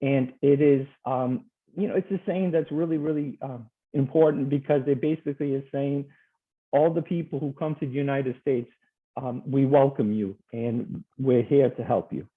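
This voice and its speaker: A man from Portugal teaches over a video call, friendly and a little hesitant, filling pauses with ums as he walks through his slides. His voice comes through a thin, tinny call line. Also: mid-range voice, fairly even intonation. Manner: friendly, hesitant, with many fillers.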